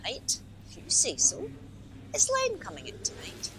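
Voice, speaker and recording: A woman's voice: sits high, huge pitch swings, unhurried, sharp tone, slight background noise, exaggerated voice. A woman playing a polite, hesitant and rather nosy helpline volunteer in a radio play, with an apologetic manner. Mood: tentative. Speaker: helpline phone operator.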